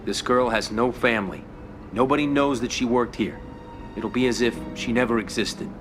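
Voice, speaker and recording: An actor playing an ambitious, shrewd young mob underboss who talks in an even, controlled, businesslike way in a game cutscene. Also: male voice, mid-range voice, moderate pace, music in the background, close to an everyday voice.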